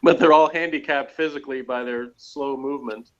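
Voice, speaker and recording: A man's voice: mid-range voice, fairly even intonation, fairly quick. A man giving casual, conversational commentary as co-commentator on a review show. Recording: dull lo-fi online call audio.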